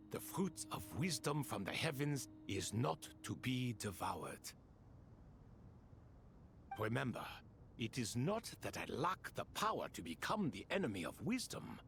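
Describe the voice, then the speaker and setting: An actor playing a cryptic ancient servant of a desert king in a video game, with a grandiloquent, deliberate delivery. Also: medium pitch, gravelly, fairly bright tone, a little hiss or hum, exaggerated voice. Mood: regal, solemn. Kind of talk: dramatic video game voice acting.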